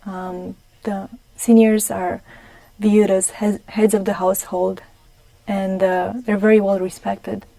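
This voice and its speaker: Young romanian woman, mellow flat voice, dry studio sound, lots of ums. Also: medium pitch, slow pace, gravelly, no echo, poor audio. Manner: careful, many ums and restarts. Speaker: studio guest. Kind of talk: studio interview.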